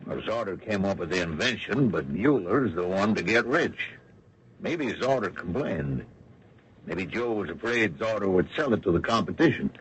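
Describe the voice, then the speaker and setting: An actor playing a suspicious, musing investigator in an old radio crime drama, terse and understated. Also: male voice, sits low, expressive, normal speed, fairly bright tone. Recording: dull, faintly noisy vintage radio recording.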